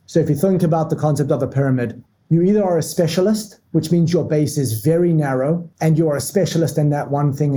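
A man from Africa, talking through work habits as a podcast guest in a measured, methodical way. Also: medium pitch, mellow, a little hiss or hum. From South Africa. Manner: measured and methodical, lists points step by step. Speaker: marketing leader, podcast guest. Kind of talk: structured business talk.

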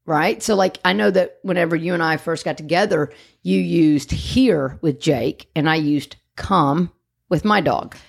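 Co-host and trainer: woman, low-ish and gravelly, emphatic teacher. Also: measured pace. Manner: explaining, emphatic, asks "right?" often. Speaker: podcast co-host and dog trainer. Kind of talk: casual chat.

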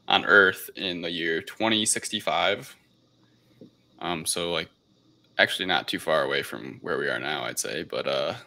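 A man's voice: low-pitched, gravelly, sharp tone. A man hosting a casual podcast film review, speaking in a hesitant, unscripted, rambling way. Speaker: podcast host.

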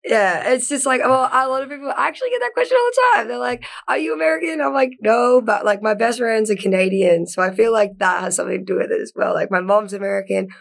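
A woman's voice: on the high side, lively intonation, fast, vocal fry, hardly any pauses. A young woman from Australia, an actor talking as a guest in a casual interview, chatty and full of "like". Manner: chatty, lots of "like", laughs easily.

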